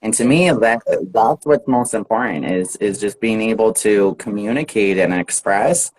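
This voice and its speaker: American guy, lowish voice, laid-back chatty teacher on a video call, laughs a lot. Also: moderate pace, poor audio. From United States. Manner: relaxed, chatty, laughs often. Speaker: English teacher. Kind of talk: casual live chat.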